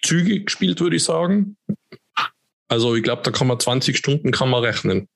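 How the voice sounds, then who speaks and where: A man, co-hosting a podcast in relaxed, rambling casual chat. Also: mid-range voice, moderate pace, creaky, dry recording. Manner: relaxed, chatty, rambling with fillers. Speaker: podcast co-host.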